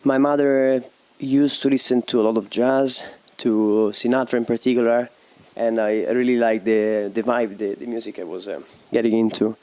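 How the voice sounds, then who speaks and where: A young man from Italy, a DJ and producer answering interview questions in a halting way, often searching for words and laughing easily. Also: medium pitch, fairly even intonation, darkish tone. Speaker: DJ and producer guest.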